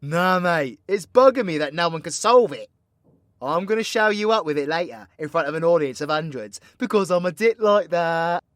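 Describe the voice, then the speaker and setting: A British man playing a cocky, foul-mouthed dark-magic warlock in an audio roleplay, with slangy, teasing, proud delivery. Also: a little high, expressive, moderate pace, no echo, studio quality. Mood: mocking, teasing. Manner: cheeky slangy banter, swinging between hushed menace and loud scolding. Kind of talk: roleplay ASMR audio drama.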